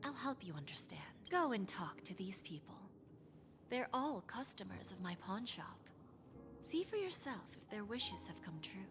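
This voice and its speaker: A woman's voice: mid-range voice, big pitch swings, normal speed. Poised game pawnbroker lady, silkily courteous and teasing, swooping intonation over music. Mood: silky persuasion. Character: poised, enigmatic lady pawnbroker striking bargains. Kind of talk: video game voice acting. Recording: music under the voice.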